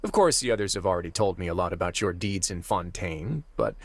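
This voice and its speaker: An actor playing a composed, wry, authoritative prison warden in a video game, speaking in measured, articulate phrases over music. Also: male voice, low voice, lively intonation, no room sound. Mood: candid. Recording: music behind the voice.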